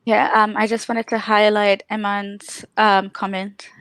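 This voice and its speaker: A woman's voice: mid-range voice, level tone, unhurried, fairly bright tone, smooth, dry recording. A woman hosting a casual livestream interview, chatty, with frequent fillers. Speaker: podcast host.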